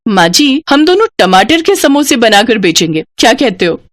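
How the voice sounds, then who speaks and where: A woman's voice: on the high side, sing-song, moderate pace. A woman voicing a dutiful, hopeful young daughter-in-law in a cartoon moral story, polite and earnest. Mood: reassuring.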